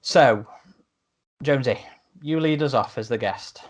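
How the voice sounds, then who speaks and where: A man's voice: medium pitch, measured pace. A man hosting a podcast, steering a casual panel discussion. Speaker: podcast host moderating a panel.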